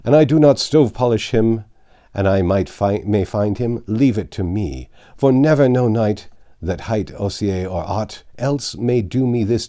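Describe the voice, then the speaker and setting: Man acting a loud, furious medieval knight. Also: on the low side. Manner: blustering, archaic oath-swearing. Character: furious, bombastic male medieval knight swearing vengeance. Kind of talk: storybook character voice in a reading.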